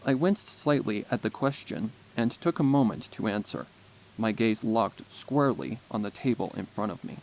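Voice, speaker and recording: A man's voice: on the low side, lively intonation, moderate pace, vocal fry, darkish tone, slight background noise, rough recording. A man reading scripted first-person fan fiction as a story narrator.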